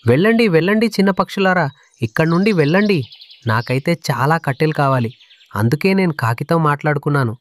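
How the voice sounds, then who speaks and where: A man's voice: fairly high pitch, expressive, fairly quick, lightly acted. A man voicing a greedy woodcutter in a children's cartoon story. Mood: dismissive. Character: greedy, dismissive male woodcutter, meek when scolded.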